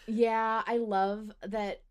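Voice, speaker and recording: A woman's voice: a little high, little pitch movement, extremely slow, a little mellow, clean tone. A woman hosting a podcast in casual fan discussion, speaking emphatically and swearing freely. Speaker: podcast host.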